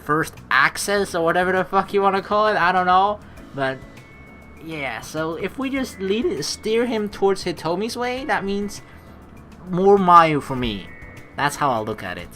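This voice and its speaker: Male gaming host, bright and very animated, slangy, exasperated, rambling commentary over faint game music. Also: high-pitched, measured pace. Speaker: let's play host. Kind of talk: casual gameplay commentary, reading story text aloud.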